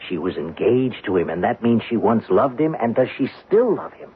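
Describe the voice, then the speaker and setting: A man's voice: on the low side, very animated, normal speed, a little hiss or hum. An actor playing a protective, pragmatic big brother in a 1970s radio drama, insistent and pointed in his questioning. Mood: persuasive, calculating. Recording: muffled phone-like old radio broadcast.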